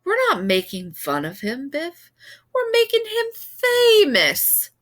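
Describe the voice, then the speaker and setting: A woman's voice: high-pitched, wildly swooping pitch, slow pace, a bit bright, pitched above the performer's own voice, full character voice. Woman playing a smug, boastful ex-dad. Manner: boastful, smirking. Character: pompous, smug ex-dad author bragging on TV.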